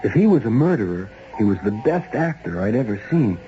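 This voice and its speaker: An actor playing a steady, wry ex-cop investigator in a 1970s radio drama, with plain-spoken, probing delivery. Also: male voice, fairly low pitch, fairly quick. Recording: dull, noisy old radio recording.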